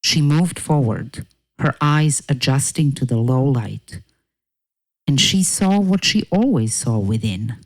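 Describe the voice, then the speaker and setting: A woman narrating a scripted fairy tale in a measured, deliberate way. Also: a bass voice, darkish tone, poor audio.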